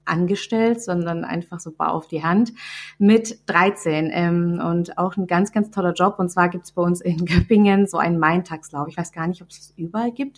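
A woman from southern Germany with a Swabian accent, talking casually as a podcast guest. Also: fairly low pitch. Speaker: podcast guest, company founder. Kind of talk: casual conversational anecdotes.

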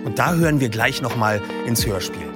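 A man hosting a podcast, wrapping up and making announcements in a relaxed, chummy way. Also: medium pitch, normal speed. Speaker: podcast host. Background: music.

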